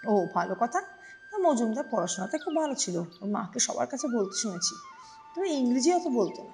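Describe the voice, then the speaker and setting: A woman's voice: on the high side, wildly swooping pitch, moderate pace, vocal fry, warm tone, soft background music, exaggerated voice. A woman voicing a simple, easygoing male blue shirt in a story, chatty and reassuring in a plain-spoken way.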